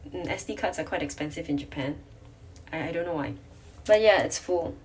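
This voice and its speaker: A woman's voice: deep voice, a little fast, a little mellow, a little hiss or hum. A young woman with a Malaysian accent, chatting casually to camera in a vlog and often correcting and teasing herself. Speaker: vlogger. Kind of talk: casual sit-down vlog chat. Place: hotel room.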